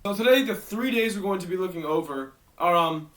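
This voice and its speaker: A man's voice: sits high, lively intonation, fairly quick, far from the mic, background noise. A teenage boy from Maryland with a worn-out, tired voice, rambling through a casual storytime vlog with self-mocking asides. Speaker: YouTuber.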